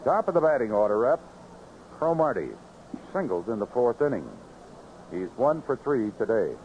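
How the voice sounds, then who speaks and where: An American man calling baseball play-by-play live on the radio in clipped phrases, in an animated manner. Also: mid-range voice, normal speed. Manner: animated calls rising sharply on big plays, clipped phrases. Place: ballpark broadcast booth. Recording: noisy, muffled vintage AM radio broadcast.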